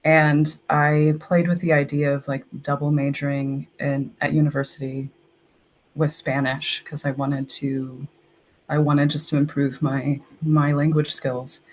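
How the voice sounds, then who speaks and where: A woman's voice: low voice, little pitch movement, unhurried, a little mellow, low-quality recording. A young woman from Texas, a guest on a podcast, telling stories in a chatty way and often saying "like". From Texas, United States. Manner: chatty, uses "like" often, storytelling. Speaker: guest, English language assistant. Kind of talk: casual interview.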